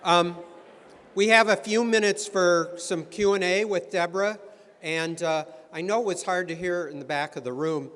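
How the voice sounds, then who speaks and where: A man moderating an informal question session at a luncheon, relaxed and chatty with many fillers. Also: high-pitched, moderate pace. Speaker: event moderator. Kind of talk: informal Q and A hosting. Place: large meeting room. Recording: long reverb of a large hall.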